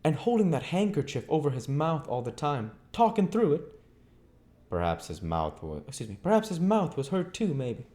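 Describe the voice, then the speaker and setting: Man putting on a high-voiced chatty country landlady. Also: very animated, normal speed, warm tone. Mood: wondering. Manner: rambling, rustic, folksy phrasing. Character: chatty, deferential country landlady, middle-aged woman.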